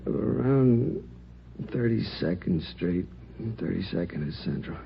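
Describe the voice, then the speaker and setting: A man playing a shaken, sheepish crime victim in a radio drama, speaking haltingly and a little defensively. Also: low voice, lively intonation, vocal fry, full character voice. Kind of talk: 1950s radio drama acting. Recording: muffled, noisy old radio recording.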